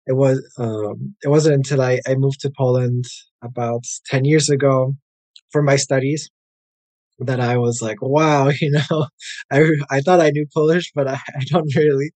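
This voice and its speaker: An American man in his thirties talks easily about his work as a language coach. He rambles a little, with plenty of "like" and "uh" fillers. His voice sits at an ordinary male pitch, and the recording is close and dry with no room sound. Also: normal speed, no echo. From United States. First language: English. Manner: relaxed and chatty, many "like" and "uh" fillers. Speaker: guest, English language coach. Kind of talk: casual interview conversation.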